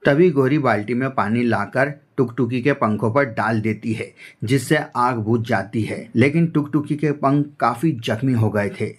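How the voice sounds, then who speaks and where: A man giving scripted narration for an animated children's story. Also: medium pitch, moderate pace, hardly any pauses.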